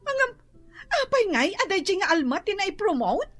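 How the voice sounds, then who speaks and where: A woman's voice: very high-pitched, sing-song, normal speed, a bit bright, faint music behind, heavily acted. A woman playing a vain, whiny office worker. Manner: whiny, dramatic. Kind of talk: radio comedy drama acting.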